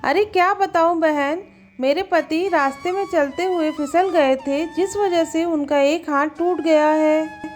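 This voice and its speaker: Cartoon farmer's wife, woman performer: very high voice, dramatic village homemaker with a scheming streak. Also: unhurried, darkish tone, soft background music. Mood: regretful. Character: farmer's scheming, later remorseful young wife. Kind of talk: Hindi moral story cartoon dialogue.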